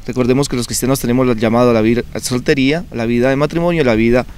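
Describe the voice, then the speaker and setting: Young Colombian seminarian, calm and earnest. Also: male voice, mid-range voice, rapid-fire, clear, resonant tone. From Colombia (Bucaramanga, Santander). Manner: calm, earnest, explanatory with frequent fillers. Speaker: seminarian, interview guest. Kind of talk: conversational TV interview.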